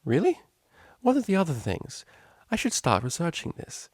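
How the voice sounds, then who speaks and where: A man's voice: a little high, huge pitch swings, normal speed, dry recording, clean studio sound, pitched above the performer's own voice. A man voicing a prim, naive young female cellist pony, studious and earnest, with precise, bookish speech. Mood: curious, fond.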